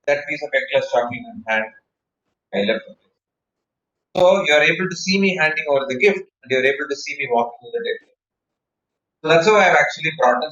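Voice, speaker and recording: A man's voice: on the high side, bright, forceful tone. Echoey Indian man teaching public speaking. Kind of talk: online workshop, explaining and answering questions. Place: indoor room, online meeting. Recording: echoey, dull online meeting audio.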